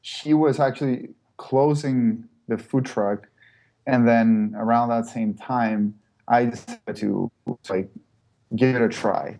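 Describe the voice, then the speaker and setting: A man's voice: fairly low pitch, vocal fry, mellow, rough recording. A man from Chile, a startup co-founder, answering interview questions in a hesitant, casual way with many fillers and restarts.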